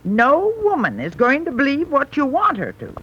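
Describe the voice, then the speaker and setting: A woman's voice: high-pitched, wildly swooping pitch, moderate pace, fairly bright tone, a little hiss or hum, exaggerated voice. An actress playing a wry, kindly, plain-spoken old grandmother in a 1930s radio comedy. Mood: wryly advising. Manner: folksy, wry. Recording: dull 1930s radio recording.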